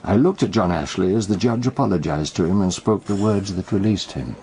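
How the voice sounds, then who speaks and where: A man acting in a British radio detective drama, playing a theatrical, deliberate amateur detective who tells his cases with pointed pauses and a British accent. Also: low-pitched, dark tone, slight background noise, natural voice. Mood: grave, suspenseful.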